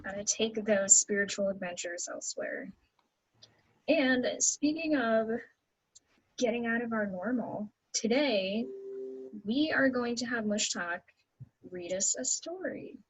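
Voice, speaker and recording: A woman hosting in a relaxed, casual style, as if introducing the day's session. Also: fairly high pitch, slow, slightly rough, poor audio. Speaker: host.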